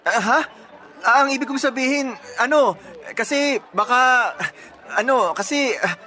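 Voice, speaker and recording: A man's voice: extremely high pitch, measured pace, sharp tone, soft background music, full character voice. An actor playing a giddy, bashful young suitor in a radio drama, flustered and eager in his delivery. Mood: flustered, apologetic. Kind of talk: Tagalog radio drama acting.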